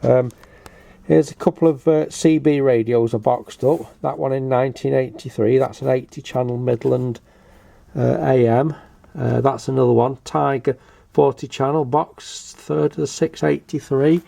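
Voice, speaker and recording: Older british guy, gravelly voice, showing stuff off casually, bit halting and matter of fact. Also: mid-range voice, fairly even intonation, dark tone. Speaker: hobbyist video presenter. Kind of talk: casual show-and-tell commentary.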